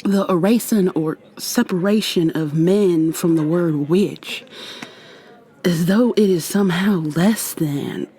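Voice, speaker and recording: A woman with an American accent playing a playful, flirty modern witch in an intimate ASMR roleplay, speaking softly and teasingly to the listener. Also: on the low side. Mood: earnest, indignant. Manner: teasing, affectionate, conversational with trailing pauses. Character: playful, flirty modern witch mentoring a new coven member.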